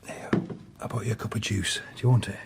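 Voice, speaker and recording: Awkward, gentle, husky man. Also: fairly low pitch, steady tone, quick pace, heavily acted. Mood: hesitant, gentle. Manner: hesitant, casual, kindly. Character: awkward, gentle man courting a child's trust. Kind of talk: radio drama dialogue.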